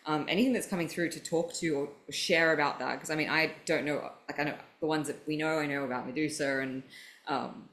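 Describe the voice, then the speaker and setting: A woman's voice: low-pitched, fairly even intonation, brisk, fairly bright tone. A woman hosting a podcast, talking animatedly and swearing freely as she thinks aloud in casual chat. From Greek heritage. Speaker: podcast host.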